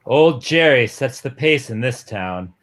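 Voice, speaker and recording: A man's voice: mid-range voice, lively intonation, measured pace, a bit bright. Man playing a laconic, easygoing young fiancé. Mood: reassuring. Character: easygoing, affectionate young small-town fiancé. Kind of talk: dramatic reading of a 1950s romance comic.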